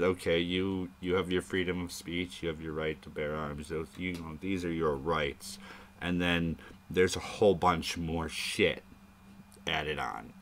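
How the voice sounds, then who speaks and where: A man's voice: low voice, slight background noise. A man hosting a live-stream debate chat, thinking aloud in a rambling way and swearing now and then. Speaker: show host.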